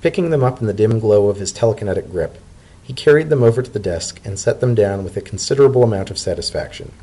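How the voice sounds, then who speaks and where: A man's voice: fairly low pitch, expressive, quick pace, dark tone, husky, poor audio. A man narrating a story as a dramatic reading.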